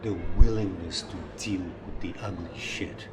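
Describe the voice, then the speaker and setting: Low-voiced older man, noisy recording. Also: fairly even intonation, unhurried. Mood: earnest, pleading. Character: wounded, jealous, pleading older man in love. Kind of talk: naturalistic film drama dialogue.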